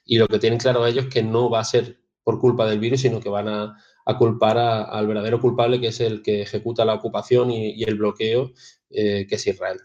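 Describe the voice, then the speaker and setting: A man's voice: fairly low pitch, level tone, brisk, darkish tone. A man giving explanatory commentary as a radio contributor. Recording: slightly dull, lo-fi remote audio.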